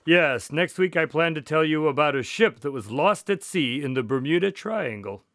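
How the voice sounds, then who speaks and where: A man playing an earnest, measured doctor and detective's sidekick in a radio drama. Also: on the high side, animated, a bit bright, dry recording, clean studio sound. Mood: earnest storytelling. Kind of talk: radio drama dialogue and storytelling.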